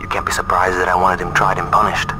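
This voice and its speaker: A man's voice: low voice, monotone, fast talker, over music, close to an everyday voice, rough recording. A man playing a condescending, coldly menacing colonel, a sardonic villain in a video game.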